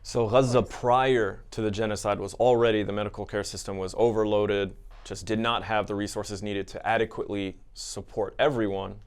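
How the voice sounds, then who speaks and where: Male podcast host, low smooth voice, courteous interviewer. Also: little pitch movement, normal speed, hardly any pauses. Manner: polite, checks facts with guests.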